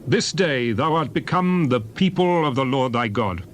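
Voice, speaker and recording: A man narrating scripture as a dramatized reading, solemn, measured and declamatory. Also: medium pitch, expressive, slight background noise. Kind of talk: dramatized Bible reading in archaic English.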